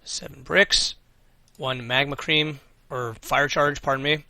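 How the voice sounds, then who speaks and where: Bright-voiced man losing his voice. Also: medium pitch, fairly even intonation, takes it slowly, vocal fry, no echo. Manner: thinks aloud, mutters, swears casually. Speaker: let's play host. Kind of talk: casual gameplay commentary.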